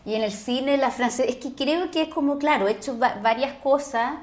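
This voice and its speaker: A woman's voice: high-pitched, a little fast, darkish tone. A Chilean woman, an actress being interviewed, reflecting conversationally and often searching for her words.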